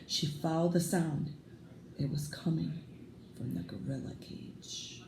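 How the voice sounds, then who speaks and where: A woman reading a children's picture book aloud as a storyteller, deliberate and expressive. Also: sits low. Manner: deliberate, emphatic, with sound effects. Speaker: read-aloud teacher, storyteller. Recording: roomy echo, faint background noise.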